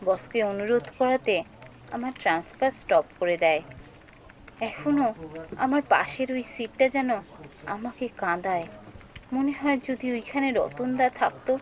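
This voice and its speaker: A woman playing an anxious young female office worker in a cartoon story, speaking in an expressive, emotional way. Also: fairly high pitch, normal speed, pauses often, natural voice, low-quality recording. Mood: stunned, sorrowful. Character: anxious young female office worker Soumi, telling her ghost encounter. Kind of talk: dramatic scripted story narration and dialogue.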